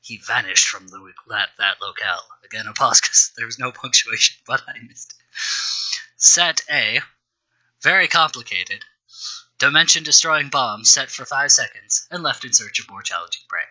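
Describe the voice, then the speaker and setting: Bright, forceful man reading aloud. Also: mid-range voice, animated, normal speed, low-quality recording. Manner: wry, self-deprecating. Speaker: host reading his own old fan fiction. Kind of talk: storytelling read-aloud with mocking asides.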